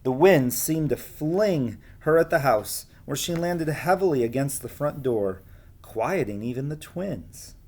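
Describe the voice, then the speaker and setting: A man reading a storybook aloud to young listeners, expressive, with casual asides spoken straight to them. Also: medium pitch, a little slow.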